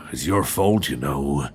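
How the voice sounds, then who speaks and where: A man playing a weary, wry old nineteenth-century lighthouse keeper with halting, folksy speech in a suspense audio roleplay, close on a binaural mic. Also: rumbling low, gravelly, heavily acted. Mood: resigned, bitter. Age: older. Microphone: close binaural microphone.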